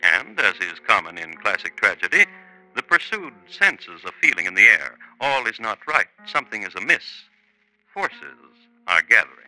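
Wry, sharp-voiced vintage radio crime narrator. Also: male voice, on the low side, sing-song, exaggerated voice, low-quality recording. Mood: ominous. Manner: wry, theatrical, deliberate. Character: wry, ominous male narrator of true crime tales. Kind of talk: 1950s radio drama narration. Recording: vintage 1950s radio, faint music.

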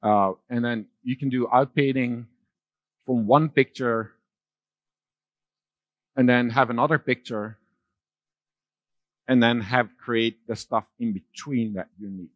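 A middle-aged man giving a conference keynote on a large dark stage, talking loosely with fillers and restarts. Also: mid-range voice, steady tone, slow pace, pauses often, no room sound, muffled recording. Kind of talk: keynote talk with slides.

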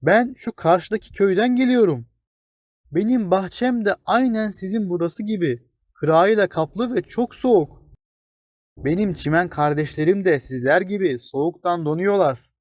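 A man's voice: sits high, normal speed, darkish tone, no room sound, clean studio sound, voice pushed up, close to an everyday voice. A man voicing a small, brave, hopeful blade of grass in a children's fairy tale, with an earnest, determined manner.

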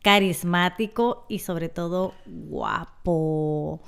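A woman in her thirties hosting a casual podcast interview, chatty and animated, on a studio mic in a small studio room. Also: lowish voice, very slow, gravelly, clean tone. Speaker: podcast host. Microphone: studio mic on boom arm, headphones.

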